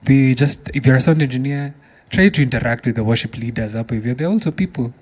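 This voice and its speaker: A man's voice: mid-range voice, a little fast, darkish tone. A man with a Kenyan accent hosting a podcast in a casual, appreciative and affirming conversational way. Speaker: podcast host.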